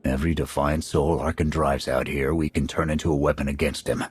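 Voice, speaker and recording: A man playing a blunt, gruff military squad commander in a video game. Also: rumbling low, steady tone, a little fast, heavily acted. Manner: gruff, blunt soldier talk.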